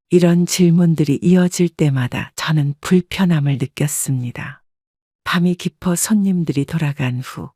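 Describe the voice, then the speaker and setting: Older woman narrator, calm measured first-person storytelling, dry close sound. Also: low-pitched, no room sound.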